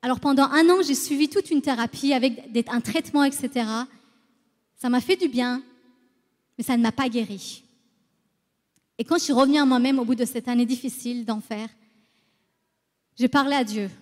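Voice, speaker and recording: High-voiced Iranian-born woman in echoing hall. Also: normal speed, pauses often. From Iran, moved to France at eleven. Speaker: guest giving testimony. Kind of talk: personal church testimony. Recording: reverberant hall, long echo.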